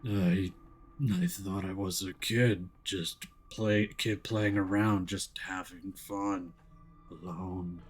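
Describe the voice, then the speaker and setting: A man voicing the delirious ghost of a man in his thirties, muttering in halting fragments for a story game. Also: deep voice, flat delivery, faint music behind. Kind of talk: visual novel character voice.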